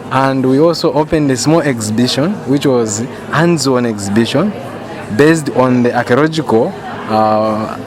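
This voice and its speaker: A man from Zambia with a Zambian accent gives a spoken testimonial, repeating words for emphasis. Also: mid-range voice. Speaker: museum professional giving a testimonial.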